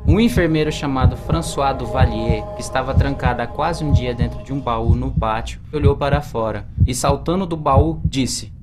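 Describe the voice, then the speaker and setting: A man's voice: medium pitch, normal speed, hardly any pauses. A young man with a Brazilian accent reading scripted narration for an amateur radio drama. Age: young adult. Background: music.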